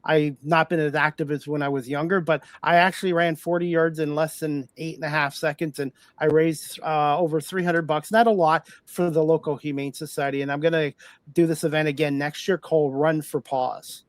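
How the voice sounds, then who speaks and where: A man's voice: a little high, steady tone, normal speed, no room sound. Earnest man in his fifties, talk host. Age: 50s. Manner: earnest, rambling, repeats himself. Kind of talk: casual chat.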